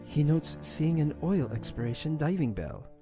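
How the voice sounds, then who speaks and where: A man's voice: a little high, very animated, normal speed, over music, voice pushed up. A man voicing a serious, determined young woman, a grieving daughter, in an audio drama. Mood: serious, subdued. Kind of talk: audio drama character acting.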